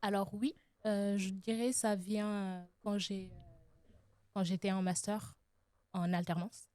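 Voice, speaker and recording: A woman's voice: mid-range voice, level tone, slow, dark tone, no echo. A young woman from Senegal answering interview questions thoughtfully, with frequent hesitations. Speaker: guest, association founder.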